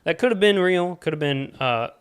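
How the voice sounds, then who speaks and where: A man's voice: a little high, animated, moderate pace, clean tone. A man co-hosting a podcast, chatting in laid-back, joking banter. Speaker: podcast co-host. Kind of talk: casual chat.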